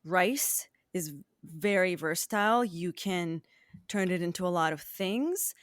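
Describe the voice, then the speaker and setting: A woman's voice: fairly low pitch, lively intonation, unhurried, no room sound, studio quality. A woman chatting casually as she answers questions in an interview. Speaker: podcast cast or crew member.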